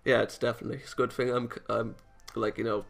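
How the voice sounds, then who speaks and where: A man with a bright voice and plenty of fry reads a visual novel aloud as a let's play host. He drops in casual remarks and trips over lines, repeating them. Soft game music plays behind him. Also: mid-range voice, level tone, quick pace.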